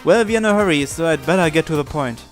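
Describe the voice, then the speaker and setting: A man's voice: a little high, animated, fast talker, faint music behind. A man hosting a let's-play, reading a visual novel's narration and every character's lines aloud in his own voice.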